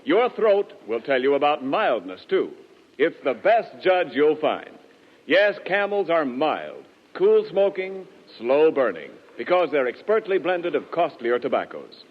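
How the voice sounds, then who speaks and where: A man's voice: on the high side, sing-song, unhurried. A man working as a radio announcer, reading ads and announcements in 1940s radio style. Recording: muffled 1940s radio broadcast.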